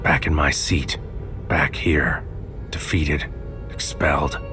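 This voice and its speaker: An actor playing a tormented male protagonist, narrating a sci-fi mystery drama in a grave, deliberate, poetic way. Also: a bass voice, fairly even intonation, in a whisper. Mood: tormented, tense. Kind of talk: first-person scripted audio drama narration. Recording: music behind the voice.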